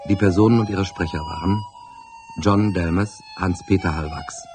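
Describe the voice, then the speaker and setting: A man's voice: sits low, normal speed. A man reading the scripted closing credits of a radio drama as announcer. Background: music with flute.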